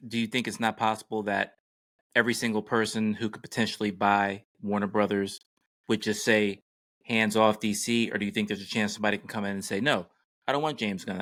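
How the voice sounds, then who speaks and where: A man's voice: on the low side, steady tone, brisk, fairly bright tone, no echo. A man co-hosting a podcast, chatting casually and putting questions to his partner. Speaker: podcast co-host. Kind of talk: casual chat, posing questions.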